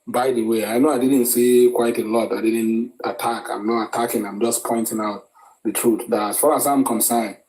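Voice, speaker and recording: A man's voice: medium pitch, fairly even intonation, normal speed, darkish tone, rough recording. A Nigerian man giving opinionated commentary in a group discussion, often hesitating and repeating words as he makes his points.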